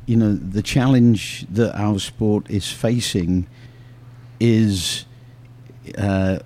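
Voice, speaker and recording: Mellow man musing with many fillers. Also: fairly low pitch, steady tone, extremely slow. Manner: reflective, joking, filler-heavy. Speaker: youth soccer coach. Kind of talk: casual podcast discussion.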